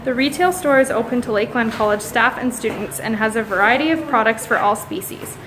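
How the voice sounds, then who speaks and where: A young woman student guiding a tour of a veterinary teaching clinic in a steady, scripted, informative way. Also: on the high side, brisk. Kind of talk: guided facility tour. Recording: echoey room, noisy background.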